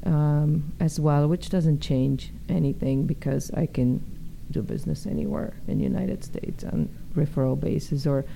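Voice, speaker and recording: Brief: deep, gravelly woman, mellow tone, realtor guest on radio, relaxed explainer, faint hiss. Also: normal speed, few pauses, rough recording. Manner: relaxed, explanatory, filler-laden. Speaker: real estate agent guest. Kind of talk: radio interview.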